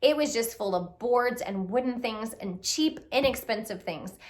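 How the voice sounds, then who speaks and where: High voiced lady doing a puppet show story for kids, expressive, talks right to the listeners. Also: normal speed. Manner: expressive, talks directly to young listeners, exclaiming and asking questions. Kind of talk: animated children's storytelling with puppets.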